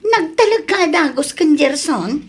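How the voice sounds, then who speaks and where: A woman's voice: high voice, animated, measured pace. A woman playing a blunt, nosy character in an Ilocano radio comedy drama, speaking with an Ilocano accent. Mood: teasing, nosy.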